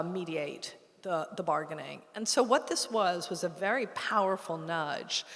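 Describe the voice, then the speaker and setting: A woman speaking as an expert on a conference panel, explaining in an informal, direct way. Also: lowish voice. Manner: explanatory, informal, occasional fillers. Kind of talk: conference talk. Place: conference hall. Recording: echoey conference hall.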